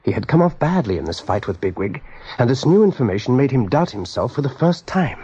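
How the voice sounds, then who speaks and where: A man's voice: mid-range voice, very animated, a little fast, mellow, husky. A man narrating a radio drama as a storyteller, with vivid, suspenseful delivery. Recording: dull 1980s radio recording.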